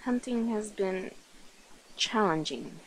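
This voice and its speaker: A woman playing a shy, playful and protective centuries-old vampire in an intimate ASMR roleplay, speaking softly and hesitantly. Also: mid-range voice, lively intonation, slow, slight background noise, rough recording. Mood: teasing, weary. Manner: hesitant, soft-spoken, breathy whispers, nervous giggles. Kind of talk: ASMR roleplay, intimate one-sided dialogue.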